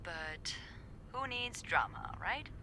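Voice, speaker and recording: Playful, animated young hotel worker. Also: female voice, medium pitch, takes it slowly, heavily acted. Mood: amused, fond. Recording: clearly noisy background ambience.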